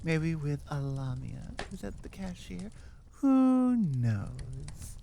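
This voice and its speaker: A woman's voice: sits low, lively intonation, slow, gravelly, dark tone, slight background noise. A woman chatting casually and unscripted as the host of her audio channel, rambling in a playfully self-mocking way. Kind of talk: casual unscripted outro chat.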